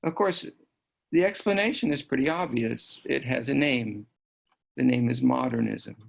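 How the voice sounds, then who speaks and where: A man giving reflective documentary commentary in a deliberate, phrase-by-phrase way. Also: medium pitch, creaky. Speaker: film narrator and commentator.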